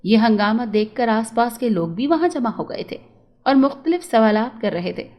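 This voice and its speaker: A woman's voice: a little high, expressive, normal speed, a little mellow. A woman storyteller narrating a scripted moral tale.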